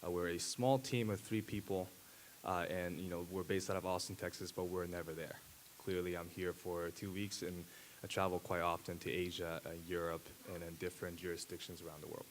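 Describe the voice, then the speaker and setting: A man in his thirties, a native Japanese speaker, giving a conference talk at a podium with a handheld mic, pausing often with fillers and restarts. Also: sits low, fairly even intonation, a little hiss or hum. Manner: halting, many fillers and restarts. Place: large dark auditorium stage.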